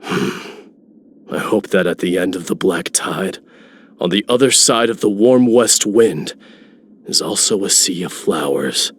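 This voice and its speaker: A man's voice: deep voice, expressive, takes it slowly, husky, heavily acted. An actor playing a stern, proud male warrior in a video game, with a grave, formal manner. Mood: solemn.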